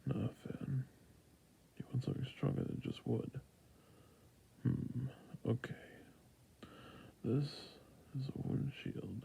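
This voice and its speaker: A man playing a friendly, patient fantasy shopkeeper in an ASMR roleplay, speaking in a soft, breathy near-whisper with creaky endings and a gentle sales manner. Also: medium pitch, slight background noise, rough recording. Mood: mildly doubtful.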